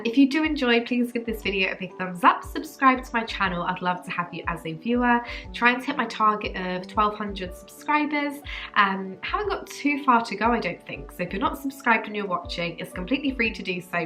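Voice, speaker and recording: A young woman talks casually to camera while fighting off a cold, so her voice comes out a touch nasal and stuffed up. Her tone stays bright and forward. She rambles a little, filling gaps with ums and likes. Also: medium pitch. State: congested from a cold, a bit nasal. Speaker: vlogger. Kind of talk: casual chatty update to camera.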